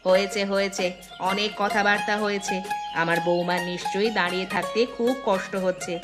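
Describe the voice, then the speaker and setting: A woman voicing a middle-aged mother-in-law, matriarch of a landlord household, in an animated moral story. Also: mid-range voice, fairly quick, over loud music, close to an everyday voice. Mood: delighted, welcoming.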